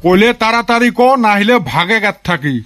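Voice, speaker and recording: Man voicing a high-pitched grumpy village miser. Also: animated, brisk, a bit bright, soft background music. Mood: impatient. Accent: Rajbongshi. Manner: scolding, exclaiming. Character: grumpy, miserly village father, quick to scold. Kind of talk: comedy cartoon voice acting.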